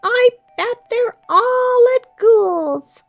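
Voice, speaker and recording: A woman's voice: very high-pitched, expressive, drawn-out words, faint music behind, pitched above the performer's own voice, heavily acted. A woman voicing a sweet, eager young girl witch in a children's storybook, with a singsong way of talking. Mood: puzzled.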